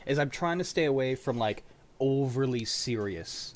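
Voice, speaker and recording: A man's voice: medium pitch, animated, moderate pace, a crowd in the background. A young man hosting an online group in a casual, easygoing, accommodating conversational way. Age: young adult. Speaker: host of a live script-reading stream. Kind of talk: casual post-show group chat.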